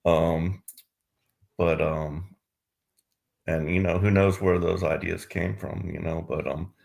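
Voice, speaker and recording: A man you'd hear unmuting at an online meditation class, low gravelly voice, tentatively feeling his way through his thoughts. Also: flat delivery, darkish tone. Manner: tentative, halting, groping for words. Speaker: student taking part in a spiritual Q&A. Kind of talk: casual chat.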